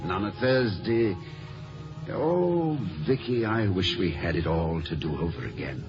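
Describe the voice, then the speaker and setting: Flustered, romantic professor, old radio. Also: male voice, fairly low pitch, lively intonation, unhurried. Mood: lovestruck, hesitant. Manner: stammering, flustered, refined. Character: absent-minded, romantic, hesitant college professor. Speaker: actor in a radio drama. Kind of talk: 1950s radio acting. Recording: muffled old radio broadcast with music.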